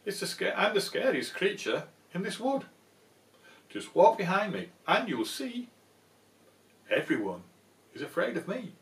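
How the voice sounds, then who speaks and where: A man's voice: high voice, big pitch swings, a little slow, pauses often, far from the mic, pitched above the performer's own voice, heavily acted. A man voicing a small, clever mouse who boasts and exclaims in a storybook. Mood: boastful.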